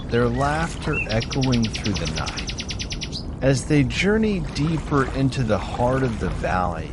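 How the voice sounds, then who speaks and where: Slow, soothing male bedtime storyteller. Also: medium pitch. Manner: soothing, gentle, measured. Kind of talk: scripted bedtime story narration. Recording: steady noise and water sounds behind. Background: pink noise and water sounds.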